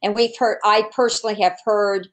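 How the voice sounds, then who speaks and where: A middle-aged woman legislator lays out funding policy in a measured, explanatory tone. She speaks over a webcam from her living room at home. Her voice sits at a middle pitch. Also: steady tone. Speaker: state legislator. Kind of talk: explaining policy on a video call. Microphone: video call webcam.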